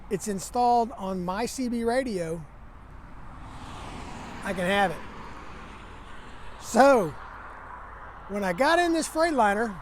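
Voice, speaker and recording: High-pitched trucker, noisy recording. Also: male voice. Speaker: trucker vlogger. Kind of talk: casual first-person storytelling to camera. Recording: noisy, vehicle and outdoor ambience.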